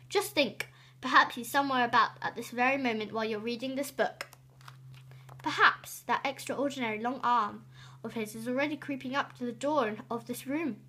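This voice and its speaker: A woman reading a children's storybook aloud expressively, with dramatic, drawn-out emphasis on key words. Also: sits high, sharp tone, breathy edge. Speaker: storybook reader.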